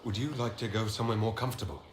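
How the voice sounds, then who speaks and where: A man's voice: on the low side, steady tone, rapid-fire, roomy sound, slight background noise, natural voice. A man playing a thoughtful, curious leader in a fantasy video game, frank and conversational. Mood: concerned, frank. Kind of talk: dramatic video game acting.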